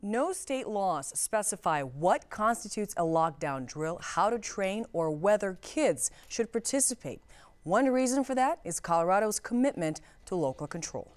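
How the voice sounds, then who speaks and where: A woman's voice: mid-range voice, lively intonation, moderate pace, no room sound. A woman news reporter delivering scripted narration for a broadcast story.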